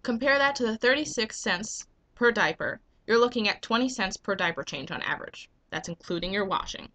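Young woman w a cold, bright sharp voice, pushy rhetorical vlog pitch into her webcam. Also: expressive, fairly quick. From United States. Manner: earnest, emphatic, rhetorical questions. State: getting a cold. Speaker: vlogger and business owner. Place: small indoor room at home. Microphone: webcam at desk.

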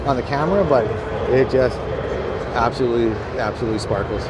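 A man's voice: medium pitch, fast talker. A man in his thirties hosting a casual vlog, upbeat and teasing, filmed on a handheld camera in a large indoor show hall. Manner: upbeat, laughs often, teasing. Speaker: vlogger host. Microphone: handheld camera held close. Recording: noisy crowded indoor hall. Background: crowd chatter and hall noise.

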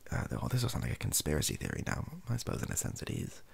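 British man, thirties, soft-spoken, husky, lively intonation, halting, improvised rambling, close-up ASMR-style talk. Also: rumbling low. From United Kingdom. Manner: hesitant, halting, self-correcting. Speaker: ASMR video creator. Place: small indoor home room.

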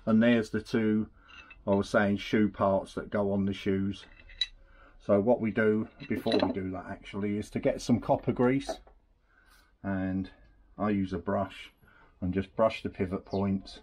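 A man's voice: on the low side, measured pace. A man talks casually through a repair in a workshop while he works at a bench. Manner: relaxed, chatty, talks while working with hands. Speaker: scooter mechanic demonstrating a rebuild. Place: indoor workshop at a workbench. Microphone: camera on the bench, speaker off to the side.

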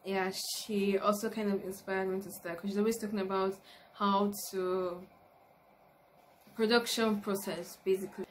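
A woman's voice: mid-range voice, flat delivery, normal speed. Young Nigerian woman chatting, noisy recording. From Lagos, Nigeria. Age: early 20s. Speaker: YouTuber, university student. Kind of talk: casual chat to camera, question and answer. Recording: noticeable background noise, room ambience.

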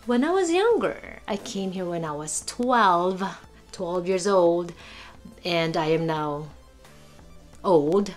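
A woman in her forties from the Philippines with a strong Filipino accent, chatting casually and rambling to a webcam in her living room. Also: on the low side. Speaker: vlogger. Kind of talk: casual talk to camera. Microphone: webcam or phone at arm's length.

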